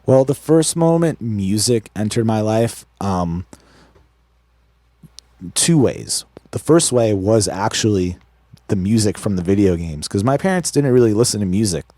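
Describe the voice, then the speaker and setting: Young man, from Israel, very low voice, dark mellow tone, relaxed storytelling, dry sound. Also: no echo. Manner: relaxed, unhurried storytelling with fillers. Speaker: guest musician. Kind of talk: casual interview.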